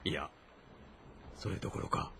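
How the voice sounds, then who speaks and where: A man's voice: moderate pace, bright, forceful tone, natural voice. An actor playing a grave, calculating man of rank in an ancient Chinese historical drama. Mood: brooding, anxious. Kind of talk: Japanese historical audio drama acting.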